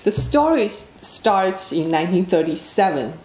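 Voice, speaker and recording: Low-voiced woman with a Chinese accent. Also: very animated, normal speed, darkish tone, echoey room, poor audio. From China. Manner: plain, matter-of-fact storytelling. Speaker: author, interview guest. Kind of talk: interview answers.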